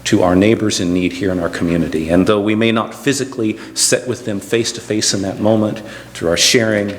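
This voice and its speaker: Male pastor, lowish voice, measured church address with halting phrases, echoey hall. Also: fairly even intonation, slight background noise. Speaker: pastor leading the service. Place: large church room.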